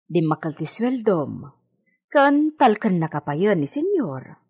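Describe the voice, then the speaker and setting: Woman as low, husky, loyal housemaid. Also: big pitch swings, slow pace, a little mellow, exaggerated voice. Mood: reasoning, chiding. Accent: Ilocano. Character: loyal, patient housemaid of a hacienda villa, woman. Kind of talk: Ilocano radio drama acting. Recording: music bed under the voice.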